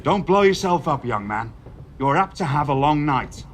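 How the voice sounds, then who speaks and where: A stern older wrestling general manager, voiced by an actor, measured and formal in a video game cutscene. He lectures a young wrestler in slightly raised tones. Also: on the high side, lively intonation, slight background noise, natural voice.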